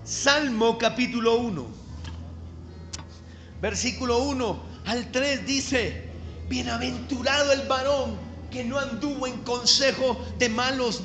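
Pastor from Colombia's Caribbean coast, very high ringing voice, emphatic sermon delivery in an echoey church hall. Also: male voice, fairly bright tone. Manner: emphatic, slows down to stress key phrases, calls for congregation response.

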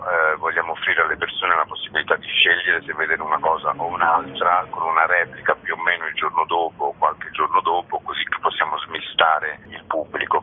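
A man's voice: very deep voice, phone-quality sound, slight background noise. A man explaining an event in an informal interview, talking in a discursive, expansive way, as a festival co-director.

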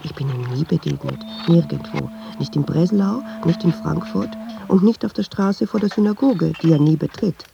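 A woman's voice: sits low, moderate pace, creaky, hardly any pauses, lo-fi sound, noisy background. An actress playing a watchful, nervous woman who muses hesitantly in an intimate radio drama monologue, dropping into half-whispers. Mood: suspicious, uneasy. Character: watchful, nervous woman narrating her inner thoughts.

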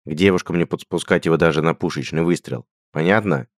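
A man voicing a gruff, brooding, bear-like hunter who speaks in curt, growling lines. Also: low voice, fast, no room sound, studio quality, natural voice. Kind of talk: audiobook character voice.

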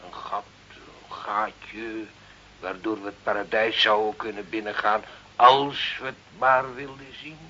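A man acting as a fatherly, pious village priest in a radio play, gently scolding in an unhurried way. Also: on the low side, steady tone. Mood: hopeful, coaxing. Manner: unhurried, pious, fond of quoting scripture. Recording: muffled, hissy old radio recording.

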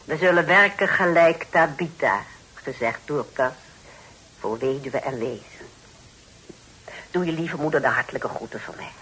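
A woman playing a kindly, brisk, pious middle-aged aunt in a radio play. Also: low voice, a bit bright. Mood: brisk, motherly. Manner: kindly, brisk, full of hums. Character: pious, motherly, industrious aunt of old times. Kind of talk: Dutch radio play acting. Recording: noisy old radio drama recording.

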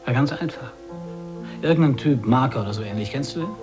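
A man's voice: medium pitch, fast, over music, natural voice. An actor playing a weary, cynical male gag writer in a naturalistic radio drama, speaking in a dry, terse, sardonic way. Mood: agitated. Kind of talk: 1980s German radio drama acting, naturalistic.

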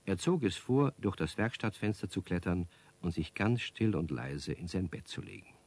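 A man narrating a children's radio play as a friendly, dryly amused storyteller with a deep, husky voice. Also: animated, moderate pace, darkish tone. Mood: wry, calm.

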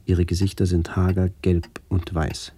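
Radio play: melancholy man looking back, very low measured voice, noticeable noise behind. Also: completely flat delivery, warm tone. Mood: elegiac, reflective. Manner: measured, elegiac, reflective. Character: melancholy grown man remembering his family and lost village. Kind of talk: literary radio play narration.

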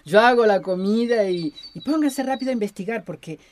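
A woman's voice: mid-range voice, expressive, a little fast, darkish tone, natural voice. A woman playing a bossy but kind village woman in a radio drama. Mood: bossy, amused. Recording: clearly noisy track.

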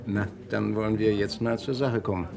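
An actor playing a shrewd, persistent police inspector in a vintage radio crime drama, blunt and probing. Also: male voice, fairly low pitch, steady tone, moderate pace, creaky, crowd noise. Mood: stern, pressing. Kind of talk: German radio crime play acting, 1950s to 80s.

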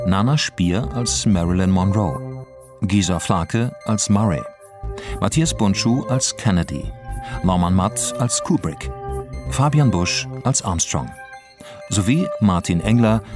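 Low-voiced male announcer over music. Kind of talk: scripted credits announcement.

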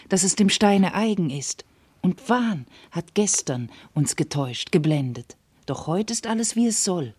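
A loyal, soothing confidante in a classical verse radio play. Her woman's voice is lowish, dark and husky, recorded dry and close. She reasons in a measured, reassuring way. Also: no echo.

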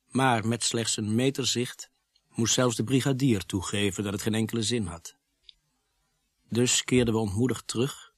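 An actor playing a sober young policeman narrating his case in a radio drama, measured and sombre, with a husky voice. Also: medium pitch, no echo, studio quality. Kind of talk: scripted first-person narration in radio drama.

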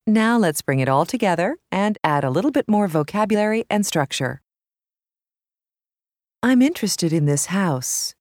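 A woman with an American accent reading scripted language lesson narration in a measured, clearly enunciated way. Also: medium pitch, animated, darkish tone, no room sound, studio quality.

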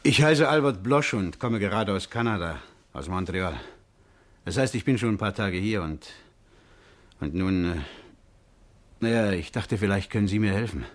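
An actor in a 1950s German radio crime drama playing an anxious, hot-tempered working-class man who tells his story haltingly. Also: lowish voice, natural voice. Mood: uneasy, confiding.

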